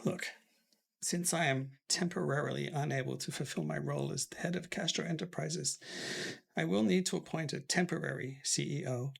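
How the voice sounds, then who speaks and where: An actor playing a stern aging father who heads a family company, commanding in a husky voice. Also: fairly high pitch, steady tone, normal speed, heavily acted. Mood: weary. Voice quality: gravelly, husky. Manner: commanding, outraged then tender. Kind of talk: melodrama acting.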